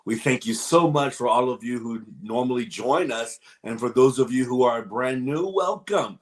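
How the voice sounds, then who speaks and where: Upbeat guy hosting, keeps saying how excited he is, super welcoming, normal pitch. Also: moderate pace. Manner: upbeat, effusive, repeats "excited". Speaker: host, moderator. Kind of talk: welcoming interview introduction.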